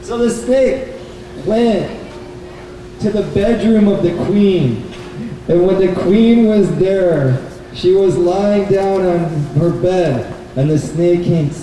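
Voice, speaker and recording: A man telling a children's story live to an audience, in simple, deliberate phrases. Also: high voice, mellow. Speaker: puppet show storyteller and presenter. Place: indoor bookstore event. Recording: very echoey, noisy hall, distant microphone.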